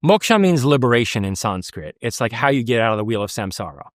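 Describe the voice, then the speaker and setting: A man's voice: medium pitch, very animated, fast talker, dry recording, clean studio sound. A man from the United States speaking as an interview guest, earnest and emphatic, with frequent restarts. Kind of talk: conversational interview.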